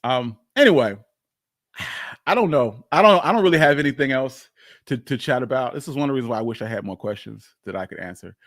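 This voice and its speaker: A man's voice: medium pitch, lively intonation, brisk, fairly bright tone, dry recording, clean studio sound. A man hosting a casual, unscripted livestream, rambling informally and often repeating his phrases. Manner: informal, chuckles often, swears mildly. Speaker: livestream host.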